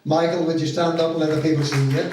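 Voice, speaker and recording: A man's voice: fairly high pitch, little pitch movement, fast talker. Irish man speaking in a very echoey hall. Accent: Irish, County Donegal. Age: middle-aged or older. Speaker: pantomime director giving thanks on stage. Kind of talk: informal thank-you speech to an audience. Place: stage of a community hall. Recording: very echoey hall, distant mic, faint noise.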